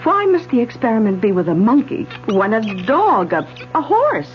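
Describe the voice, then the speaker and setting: An actress playing a refined, curious but uneasy scientist's wife in a vintage radio drama, theatrical and hesitant with emphatic repetitions. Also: female voice, a little high, very animated, normal speed, faint music behind. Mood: questioning. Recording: dull radio recording with hum and hiss.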